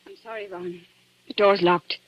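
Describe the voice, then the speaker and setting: An actress in a 1940s radio drama playing a frightened, quick-witted young woman, tense and urgent in manner. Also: lowish voice, fairly even intonation, no echo, close to an everyday voice. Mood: urgent, anxious. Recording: muffled vintage 1940s radio recording.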